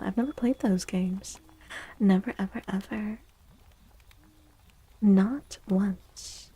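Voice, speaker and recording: Female ASMR streamer: slow, gentle, breathy half-whisper with vocal fry, soothing and affectionate. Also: mid-range voice. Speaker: livestream host doing tarot readings. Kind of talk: soft-spoken ASMR livestream chat.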